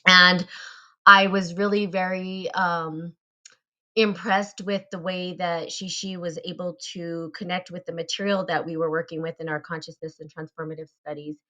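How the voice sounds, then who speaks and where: A woman's voice: lowish voice, little pitch movement, moderate pace, pushed and bright, dull audio. A woman hosting a casual live online show, introducing a guest in an upbeat, enthusiastic way.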